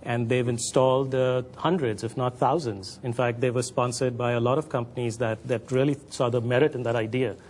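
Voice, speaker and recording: A man in his forties explains his points as a studio panel guest. He is measured, repeating phrases for emphasis, with a medium-pitched voice over some background noise. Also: fairly even intonation, a little mellow. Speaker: panel guest, engineering academic. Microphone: lapel.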